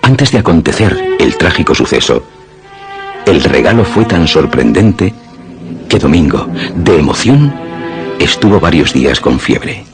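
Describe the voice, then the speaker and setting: Male narrator, for suspense radio play: extremely low, measured storytelling over music. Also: big pitch swings.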